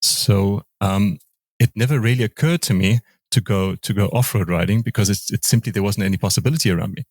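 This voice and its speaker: A man in his forties speaks with a deep, low voice, stopping and restarting his sentences often. The sound is dry and close, with no room around it. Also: darkish tone, dry recording. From Austria, raised in South Africa. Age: 40s. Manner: halting, many restarts and repeated words. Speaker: guest, motorcycle rider. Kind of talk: casual interview conversation.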